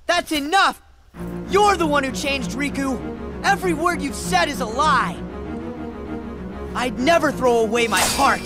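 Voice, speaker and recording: Very high-voiced earnest boy hero. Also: a little slow, long pauses. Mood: defiant anger. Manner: earnest, emphatic, declamatory. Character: earnest, hot-headed teenage boy hero. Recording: music behind the voice.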